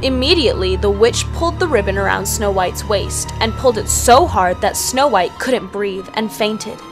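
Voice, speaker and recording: A woman's voice: mid-range voice, expressive, normal speed, over music. A woman storyteller reading scripted fairy tale narration for children.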